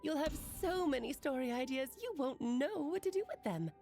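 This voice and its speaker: A woman's voice: sits high, lively intonation, brisk, warm tone, soft background music. A woman playing a playful, teasing witch aunt in an anime game, with whimsical, theatrical airy confidence. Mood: teasing, amused.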